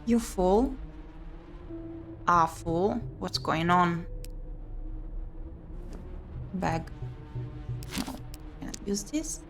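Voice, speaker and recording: A woman's voice: fairly low pitch, drawn-out words, pauses often. A woman doing let's play commentary, reading visual novel text aloud expressively with muttered reactions. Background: game music.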